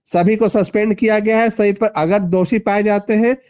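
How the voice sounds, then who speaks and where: Male political interviewee on a phone call, high voice, insistent and repetitive for stress. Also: steady tone, normal speed, a little mellow. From Bihar, India (Magadh region). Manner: emphatic, repeats phrases for stress. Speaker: party politician being interviewed.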